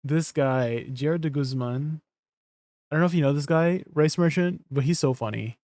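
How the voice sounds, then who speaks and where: A man with a Canadian accent chatting casually with viewers while streaming a game. He rambles in a relaxed way and trails off at the ends of his lines. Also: on the high side, moderate pace, dry recording.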